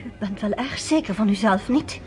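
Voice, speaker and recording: An actress playing a proud, curious American nurse in a radio drama, talking firmly and with challenge. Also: female voice, mid-range voice, lively intonation, normal speed, over music, close to an everyday voice.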